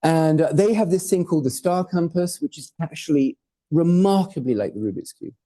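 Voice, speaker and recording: A man's voice: fairly high pitch, expressive, fairly quick, warm tone. A man from Hawaii, raised in Portugal and England, giving an informal, digressive academic lecture with jokes and the odd swear word. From born in Hawaii, raised in Portugal and England. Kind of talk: academic talk with slides. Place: lecture hall.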